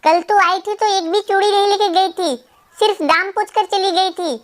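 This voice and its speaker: Squeaky, very high cartoon sparrow. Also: female voice, fairly even intonation, normal speed. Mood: brisk, scolding. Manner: exclamatory, dramatic. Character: female cartoon sparrow shopkeeper, hardworking, excitable, easily aggrieved. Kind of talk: children's cartoon dubbing.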